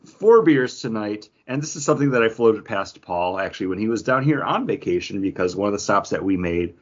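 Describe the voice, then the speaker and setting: A man's voice: lowish voice, lively intonation, brisk, lo-fi sound. A man co-hosting a podcast in casual chat, with a joking manner. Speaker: podcast co-host.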